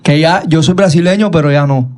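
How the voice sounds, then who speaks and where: A man's voice: a little high, fairly quick, a loud crowd. A male podcast host with a Cuban accent chats casually about sport. His delivery is emphatic, and he often repeats short words and phrases to drive them home. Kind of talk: casual, animated sports chat.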